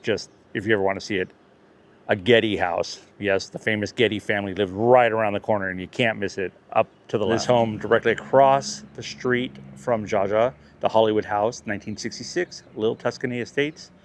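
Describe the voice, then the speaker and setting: A man's voice: lowish voice, fairly quick. Chatty man giving a casual neighborhood tour. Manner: chatty, jokey, self-correcting. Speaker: vlogger, tour guide. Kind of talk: casual touring commentary. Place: outdoors in a residential neighborhood.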